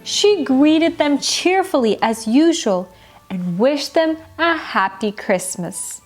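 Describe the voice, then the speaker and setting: A woman narrating a children's bedtime story in an expressive, deliberate storybook style, with music behind her. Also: sits high. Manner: animated, deliberate storybook delivery. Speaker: storyteller, children's show host. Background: background music.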